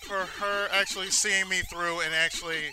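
A man's voice: high-pitched, steady tone, normal speed. A man giving casual let's-play commentary, rambling sentimentally and repeating his phrases, as host of a gaming video. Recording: noisy, game sounds behind voice. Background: arcade-style video game sounds.

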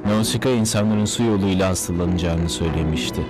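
Man playing a low-voiced cool cartoon detective. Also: quick pace, music in the background, natural voice. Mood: focused. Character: cool, confident adult male detective hero. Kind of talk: dubbed cartoon acting.

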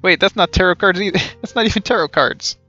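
A man's voice: high-pitched, fast talker, pushed and bright, lo-fi sound. A man in his thirties giving casual, wry live commentary on a game, muttering asides. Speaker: game streamer. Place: indoor room at home. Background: game music.

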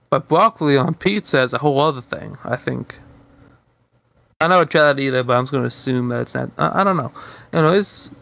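High-pitched, creaky-voiced man reading stories. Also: fairly bright tone, no room sound. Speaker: host and fan fiction reader. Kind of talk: storytelling read-aloud with casual asides.

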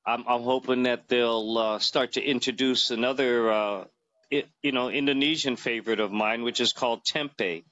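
A man's voice: medium pitch, steady tone, moderate pace, fairly bright tone, muffled recording. A man in his fifties chatting as an interview guest, rambling with many fillers and restarts, recorded on a phone held close in a small room. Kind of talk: casual interview chat. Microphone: phone camera close to face.

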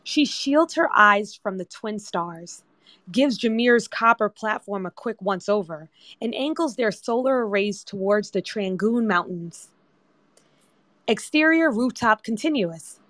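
A woman's voice: mid-range voice, expressive, a little slow, fairly bright tone, no room sound. Dry-sounding woman narrating a screenplay. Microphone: remote audio chat app.

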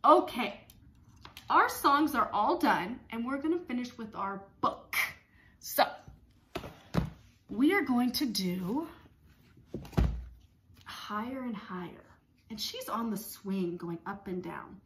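Animated, high-voiced woman teaching young children. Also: slow pace, pauses often, reverberant, slight background noise. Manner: simple, repetitive, expressive child-directed speech. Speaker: speech-language pathologist leading circle time. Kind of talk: children's songs and read-aloud lesson. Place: small room at home.

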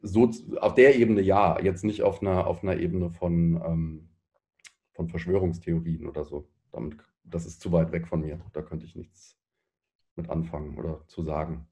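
A man in his thirties answering as a panel guest, thoughtful and halting with many fillers. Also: sits low, fairly even intonation, dark tone. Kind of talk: discussion answer.

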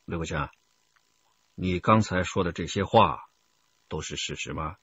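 Lowish-voiced stern police interrogator. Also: male voice, unhurried, fairly bright tone, lo-fi sound, a little hiss or hum. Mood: calm probing. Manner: authoritative, probing. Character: firm, measured male police interrogator. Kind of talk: Chinese radio crime drama acting.

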